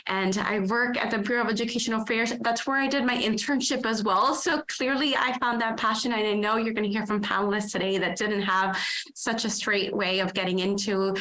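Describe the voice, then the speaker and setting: A woman's voice: mid-range voice, bright, forceful tone, low-quality recording. A woman moderating a virtual panel, introducing herself and passing the floor to the next speaker in an upbeat way. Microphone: video call mic.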